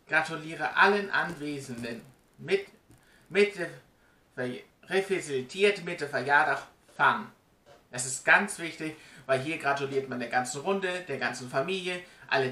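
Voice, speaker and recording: Bright-voiced man in a roomy recording. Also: fairly high pitch, normal speed. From Germany. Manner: chatty, emphatic, addresses viewers directly. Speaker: vlogger. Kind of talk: casual explainer to camera. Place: small indoor room at home. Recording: roomy, distant webcam sound.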